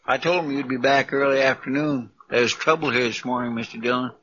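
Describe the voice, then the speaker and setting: Casting: male actor as deferential country deputy type, sharp mid-range voice, dull noisy vintage radio western. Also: medium pitch, steady tone, fairly quick, close to an everyday voice. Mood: worried, dutiful. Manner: folksy, plain-spoken. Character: earnest, deferential rustic lawman's sidekick. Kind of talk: 1950s radio western acting. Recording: dull, noisy old radio recording with clicks.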